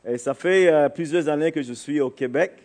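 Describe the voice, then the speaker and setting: Higher-voiced man preaching, halting delivery. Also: darkish tone. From Barbados. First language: English. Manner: halting, searching for words. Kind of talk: informal sermon to a congregation.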